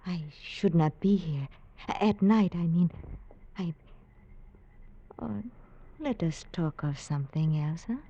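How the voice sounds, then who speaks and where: Young island temptress, timid, coaxing, soft dark tone, vintage radio acting, muffled recording. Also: female voice, lowish voice, slow pace, pauses often. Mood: coy, coaxing. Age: young adult. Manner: soft, coaxing, formal uncontracted phrasing. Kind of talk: 1940s radio drama acting.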